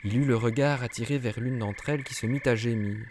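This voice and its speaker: A man narrating a children's storybook cartoon in a lively, rhythmic reading. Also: mid-range voice, fairly quick, slight background noise. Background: children's music.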